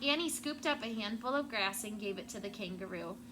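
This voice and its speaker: A woman's voice: medium pitch, expressive, a little fast. A woman schoolteacher reading a storybook aloud to children. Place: classroom. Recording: clear background noise.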